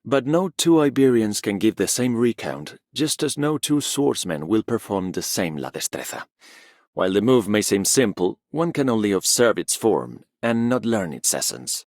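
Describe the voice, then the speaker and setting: Low-voiced stoic swordsman. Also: sing-song, moderate pace, darkish tone, dry recording, studio quality. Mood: reflective. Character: stoic, philosophical young swordsman. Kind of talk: video game character voice line.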